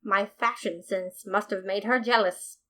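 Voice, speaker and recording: A woman reading a fan story aloud as narrator, with lively, playful storytelling. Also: mid-range voice, a little slow. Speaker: podfic reader.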